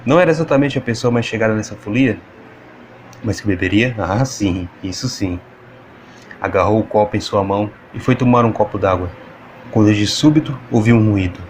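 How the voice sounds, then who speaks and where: A man's voice: on the low side, fairly quick, slight background noise. A man with a Brazilian accent reading scripted, dramatic fiction narration for an audiobook. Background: music.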